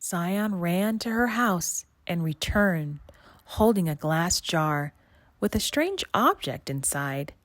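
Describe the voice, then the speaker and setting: Slow, low-pitched woman reading a storybook. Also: very animated, no echo. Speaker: storybook reader. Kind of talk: scripted read-aloud narration.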